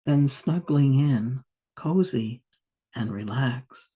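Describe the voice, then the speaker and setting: A woman meditation teacher guiding a yoga nidra practice in a soft, soothing, unhurried manner with long pauses. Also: a bass voice, animated, husky.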